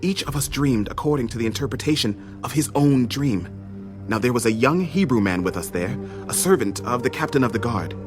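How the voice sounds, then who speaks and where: A man's voice: mid-range voice, expressive, fairly quick, lightly acted. Man acting a humble, contrite royal servant over music. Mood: contrite, recounting. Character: contrite chief butler of Pharaoh, humble male servant. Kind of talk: dramatized audio Bible acting. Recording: studio audio drama with music underneath.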